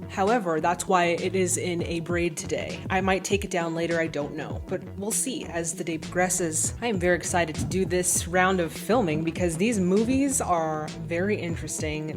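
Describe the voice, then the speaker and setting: Female film-review vlogger, slightly low voice, informal emphatic chat, music underneath. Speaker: film reaction vlog host.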